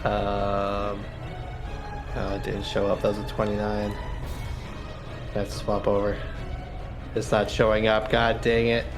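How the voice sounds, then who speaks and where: A man's voice: fairly low pitch, monotone, slow pace, pauses often. A man chatting casually as a player in a tabletop roleplaying game. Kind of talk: casual chat while playing. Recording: music under the voice. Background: music.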